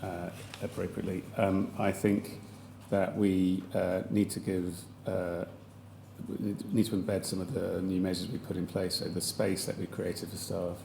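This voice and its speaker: A man with a slightly croaky voice, giving hesitant formal testimony as a chief executive at a public hearing, with many fillers and restarts. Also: low voice, level tone, unhurried, a little mellow, slight background noise. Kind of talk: formal testimony under questioning. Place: large public hearing hall. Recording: echoey hall with faint ambience.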